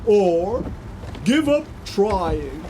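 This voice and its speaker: A man playing a dry, skeptical brother on a road trip in a comic audio drama, with drawn-out, animated delivery. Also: high-pitched, noisy background, full character voice.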